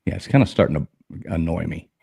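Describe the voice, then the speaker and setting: A man's voice: low-pitched, animated, fast talker, creaky, background noise. A man co-hosting a live-stream trivia night, chatting casually and greeting viewers by name. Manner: laid-back, greets chat by name. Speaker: stream co-host.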